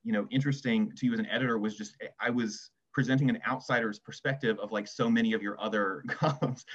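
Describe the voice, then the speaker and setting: Man, deeper voice, casual panel talk, self-deprecating, hesitant, dull lo-fi call audio. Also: a bit bright. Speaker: essay writer on a literary festival panel. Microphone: video call.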